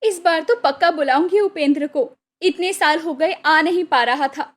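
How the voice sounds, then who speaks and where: A woman's voice: high-pitched, moderate pace, natural voice. A woman voicing a hopeful, devoted young village sister in a cartoon story, earnest and pleading. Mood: happy, hopeful. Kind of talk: Hindi cartoon moral story acting.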